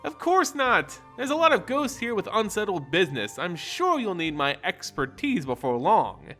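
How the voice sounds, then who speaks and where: A man's voice: high voice, very animated, moderate pace, bright, forceful tone, soft background music, exaggerated voice. A man voicing a teasing, sardonic female ghost hunter in a fantasy storybook reading. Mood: wry teasing. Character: wry, teasing adventurer woman, ghost hunter.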